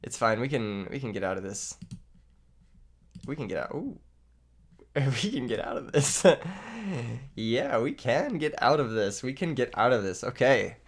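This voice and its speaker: A man's voice: mid-range voice, big pitch swings, measured pace, pauses often. A young man who has lost his voice to illness, joking and laughing as he commentates a card game he is playing for his channel. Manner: joking, self-mocking, laughs often. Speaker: gaming YouTuber. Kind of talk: live gameplay commentary.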